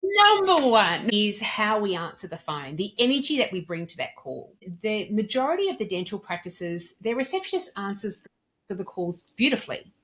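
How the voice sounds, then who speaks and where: A woman's voice: medium pitch, big pitch swings, moderate pace, a bit bright, rough recording. A woman explaining and giving advice in a podcast interview, articulate and emphatic, in the manner of a trainer. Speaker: dental communication trainer and consultant, podcast guest.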